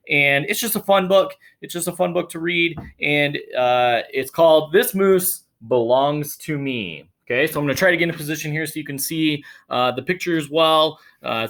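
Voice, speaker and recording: A man hosting a picture-book read-aloud for children, telling the story expressively and adding chatty asides to his young listeners. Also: on the high side. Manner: expressive, dramatic storytelling, addressing children directly. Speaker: children's read-aloud host, teacher or school staff.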